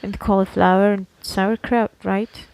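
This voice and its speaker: A woman's voice: mid-range voice, little pitch movement, unhurried, gravelly, darkish tone, slight background noise. A woman from Slovakia, co-hosting a casual podcast and explaining things in a chatty way, often checking that her listener follows. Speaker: podcast co-host. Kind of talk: casual conversational podcast chat.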